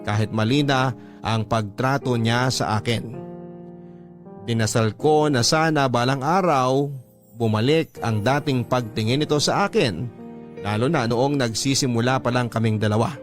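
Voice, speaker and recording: A man's voice: mid-range voice, a little slow. A man with a Filipino accent reading a scripted first-person letter as a radio drama narrator. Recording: music under the narration.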